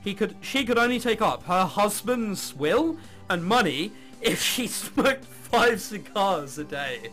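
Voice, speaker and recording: A man's voice: high-pitched, big pitch swings, slow pace, fairly bright tone, soft background music. A man hosting a visual novel let's play, reading game text aloud and adding casual, wry, irreverent asides.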